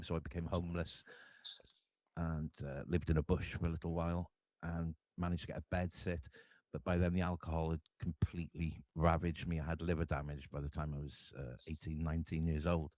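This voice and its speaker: A man from Cardiff with a Welsh accent, telling his life story to an interviewer in an earnest, halting way, often restarting his sentences. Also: sits low, flat delivery, darkish tone, no room sound. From Wales. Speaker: guest artist. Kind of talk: candid personal storytelling. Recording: dull lo-fi dry sound.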